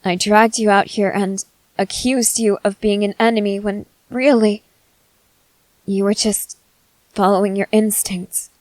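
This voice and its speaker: A woman playing a guarded young female soldier, blunt but softening, in halting roleplay ASMR. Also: medium pitch, little pitch movement, no room sound, lightly acted, low-quality recording. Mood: regretful. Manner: halting, hesitant, earnest delivery.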